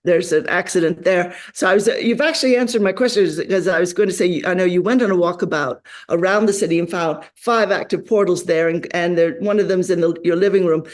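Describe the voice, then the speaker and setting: A man's voice: high-pitched, brisk, hardly any pauses. A man hosting a talk show, reflectively summarizing his guest's points before asking questions. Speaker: radio talk show host. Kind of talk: interview questions.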